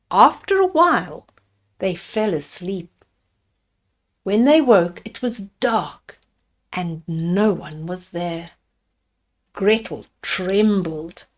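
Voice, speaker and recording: An older woman reading a bedtime fairy tale aloud to young children, deliberately, with explanatory asides about the pictures. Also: medium pitch.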